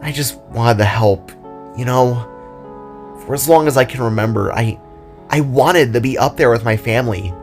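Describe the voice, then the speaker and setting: A man's voice: mid-range voice, normal speed, natural voice. Man playing an earnest young boy, music behind. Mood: regretful, earnest. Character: earnest young boy spark, wondering, guilt-ridden friend.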